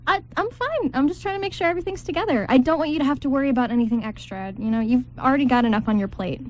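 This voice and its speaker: Radio play: awkward, anxious bridesmaid female actor, rambling nervously in a high voice. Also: rapid-fire, darkish tone, lightly acted. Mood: flustered. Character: awkward, anxious maid of honor, shy around men. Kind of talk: amateur radio play script reading.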